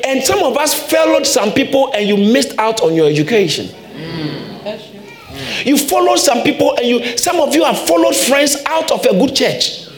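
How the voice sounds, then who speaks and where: A man preaches a sermon in a roomy, echoing church hall. His voice sits high and leaps up and down with emphasis, and he hammers points home by repeating them. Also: sing-song, normal speed. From Ghana. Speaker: pastor.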